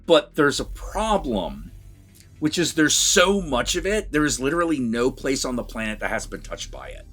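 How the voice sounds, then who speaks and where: Animated man rambling as vlog co-host. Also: medium pitch, faint music behind. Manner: rambling, halting, sweary, animated. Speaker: co-host of a movie review vlog. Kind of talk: casual review chat.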